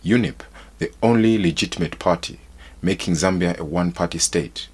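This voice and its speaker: A man from Zimbabwe, a journalist, narrating a documentary in a measured, formal way. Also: sits low, darkish tone, breathy edge.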